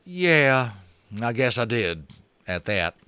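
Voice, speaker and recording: A man voicing a jittery, hard-drinking tiny cowboy with a high, strained, twangy voice and frontier slang. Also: sing-song, takes it slowly, pushed and bright, smooth, no echo, heavily acted. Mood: indignant. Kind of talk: audiobook character voice.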